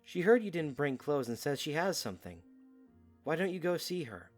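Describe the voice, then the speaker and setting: A man's voice: a little high, sing-song, normal speed. A man playing a teasing yet guarded young man in an audio drama, with music behind him. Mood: teasing, casual.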